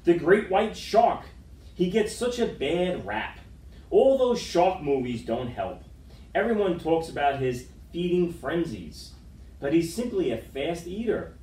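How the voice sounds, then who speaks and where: A middle-aged man reads a picture book to children in a fairly high, very lively voice. His storytelling is dramatic and expressive. The sound is roomy, picked up from a distant camera microphone. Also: a little slow. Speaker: storytime reader. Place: school room with wood-panelled walls, indoors. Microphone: far camera microphone.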